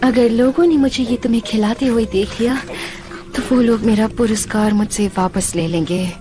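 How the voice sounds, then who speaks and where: A woman's voice: a little high, moderate pace, lightly acted. A woman playing a coaxing, sweetly sly lady in a Hindi cartoon dub. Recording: music under the voice.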